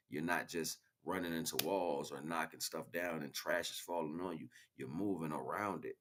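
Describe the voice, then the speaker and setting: A man giving an impromptu spiritual monologue as a teacher, speaking directly to the listener and repeating phrases for emphasis. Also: rumbling low.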